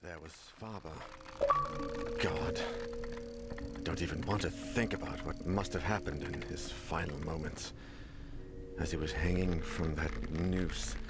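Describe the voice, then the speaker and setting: A man's voice: very deep voice, fairly even intonation, unhurried, over loud music. An actor playing a haunted, weary middle-aged man who narrates a horror game in a brooding, theatrical way. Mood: grim, shaken. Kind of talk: dramatic first-person narration in a horror game.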